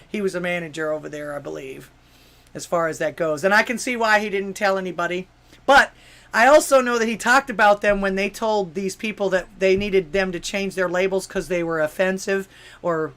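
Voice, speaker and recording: A live call-in show host from Miami, riffing on the news in a sarcastic, ranting way. The voice sits at a middling pitch. The delivery trips over itself with restarts and fillers, and questions keep landing as jabs. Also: steady tone, brisk, a bit bright. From Miami, Florida, USA. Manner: stumbling restarts and many fillers. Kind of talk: political commentary, reading news and chat messages aloud.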